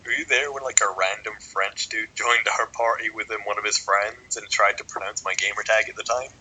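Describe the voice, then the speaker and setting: A man's voice: fairly low pitch, steady tone, brisk, pushed and bright, poor audio. A young man with a Scottish accent, joking and swearing in a casual podcast chat as co-host. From Scotland. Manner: joking, laughs often, swears freely.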